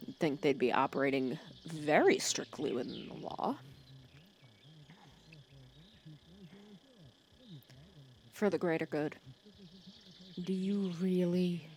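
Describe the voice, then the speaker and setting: A woman's voice: low-pitched, expressive, moderate pace. A woman playing a wry, bossy but caring female villain who fusses over her hero nemesis in an intimate ASMR roleplay. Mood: wry, sardonic. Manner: intimate, wry, fussing and bossy with soft teasing. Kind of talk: ASMR roleplay acting, one side of a conversation. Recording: clear cricket and insect ambience behind. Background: crickets and outdoor night insects.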